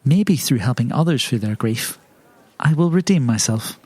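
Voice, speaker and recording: A man playing an eager, scheming investigator in an improvised tabletop game, wheedling and theatrical as he flatters people. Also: mid-range voice, normal speed, dry recording, close to an everyday voice. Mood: earnest.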